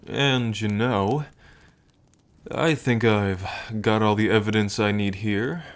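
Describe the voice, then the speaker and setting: A man playing a smitten, teasing dragon king in an intimate ASMR roleplay, with unhurried, murmuring pauses. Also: fairly low pitch, fairly even intonation. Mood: romantic, playful. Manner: unhurried, murmuring pauses, hums and soft chuckles.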